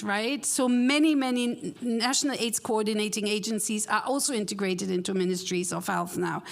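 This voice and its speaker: A woman with a fairly high voice speaks on a conference panel. She talks loudly and reflectively about her own experience, with quick asides along the way. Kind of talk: panel discussion.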